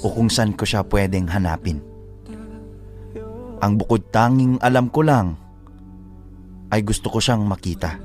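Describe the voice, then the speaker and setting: A man's voice: on the low side, a little slow, long pauses, darkish tone, soft background music, natural voice. A man narrating as a lovesick, determined letter writer in a radio drama, reflective and confiding. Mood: wistful, determined. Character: lovesick male letter writer, determined, confessing his search.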